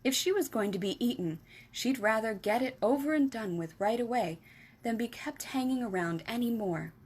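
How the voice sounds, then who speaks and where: A woman's voice: on the high side, expressive, moderate pace, a little mellow, slightly rough. A woman storyteller reading a children's book aloud as narrator.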